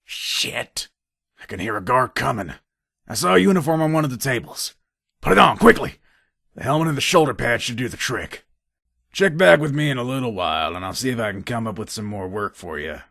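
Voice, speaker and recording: A wry, shrewd male heist planner and gun dealer from a video game. His gravelly voice sits on the low side and moves around expressively. He talks like a conspirator, dry and matter-of-fact, in a close, dry recording. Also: lively intonation, fairly quick, bright, forceful tone, no echo, exaggerated voice. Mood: urgent, tense. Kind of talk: video game character dialogue.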